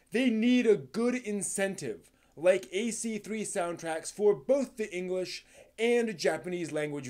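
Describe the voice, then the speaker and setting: A man's voice: high voice, a little mellow. A man hosting an informal live stream, talking in a casual, rambling way and at times reading aloud. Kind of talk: casual live stream talk about anime with theatrical read-aloud segments.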